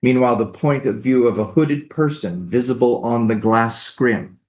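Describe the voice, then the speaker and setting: A man's voice: mid-range voice, moderate pace, darkish tone. A man reading stage directions as scripted narration for a staged play reading.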